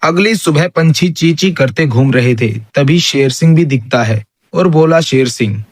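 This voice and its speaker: A man's voice: on the high side, normal speed. A man narrating an animal story for children as its storyteller.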